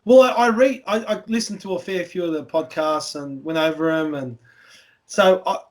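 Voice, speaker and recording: Aussie guy hosting a podcast, high voice, blunt, jokey, swears heaps. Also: moderate pace. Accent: Australian. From country Victoria, Australia. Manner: blunt, joking, heavy swearing. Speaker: podcast host. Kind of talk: casual interview chat.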